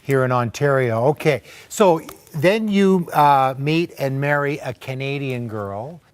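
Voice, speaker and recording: A man's voice: medium pitch, expressive, a little slow, clear, resonant tone. A man hosting a talk show interview, putting questions and recapping the guest's story in a conversational way.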